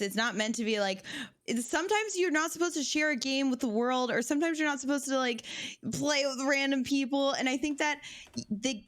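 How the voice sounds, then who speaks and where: A woman talks bluntly and outspokenly in a casual podcast chat, swearing without a second thought. Her voice sits somewhat high. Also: fairly bright tone. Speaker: podcast guest.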